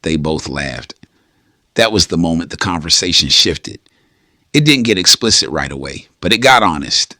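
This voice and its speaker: A man narrating a late-night romance story in a slow, hushed, intimate way. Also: low voice, animated, dry recording, clean studio sound. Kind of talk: scripted storytelling.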